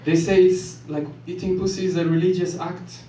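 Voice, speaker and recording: A man from Ethiopia performing a stand-up set in a comedy club, delivering jokes deadpan and chuckling at his own lines. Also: high voice, moderate pace. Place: comedy club stage with live audience. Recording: echoey club room, from far off, loud noise.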